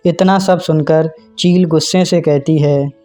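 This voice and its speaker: A man's voice: on the high side, fairly even intonation, a little slow, dark tone, soft background music. A man narrating a scripted children's cartoon story.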